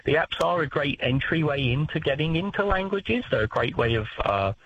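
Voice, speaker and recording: A man's voice: on the high side, animated, moderate pace, a bit bright, slightly rough, dry recording, muffled recording. A man, a language expert speaking on a talkback radio show, explaining with many fillers and restarts.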